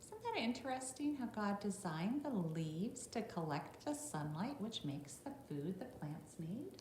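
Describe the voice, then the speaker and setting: A woman's voice: medium pitch, very animated, a little slow, a little mellow. A middle-aged woman teacher reading a picture book aloud to young children, adding instructive asides and checking in with little questions. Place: classroom, indoors. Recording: roomy echo, faint background noise.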